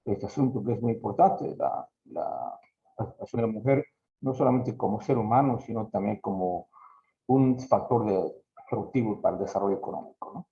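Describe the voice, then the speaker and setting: A man's voice: lowish voice, dark tone. A man, a professor asking a guest lecturer long, formal questions. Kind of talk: conference Q&A. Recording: echoey muffled room sound.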